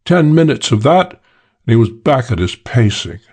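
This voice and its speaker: A man voicing a suave, self-assured English gentleman thief telling a wry crime story for an audiobook, with old-fashioned British slang. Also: mid-range voice, big pitch swings, a little slow, creaky, slightly rough, heavily acted. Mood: cool, matter-of-fact.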